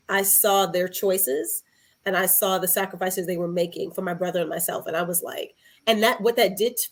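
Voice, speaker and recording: A woman from Harlem in New York City, talking casually and reflectively as a podcast guest. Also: lowish voice.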